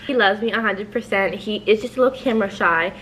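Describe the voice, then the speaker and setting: A woman's voice: mid-range voice, quick pace, fairly bright tone, rough recording. A woman in her twenties from New York hosting a casual couples Q&A, chatty and playful, swearing freely. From New York, raised in New Jersey. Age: 20s. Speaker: channel host.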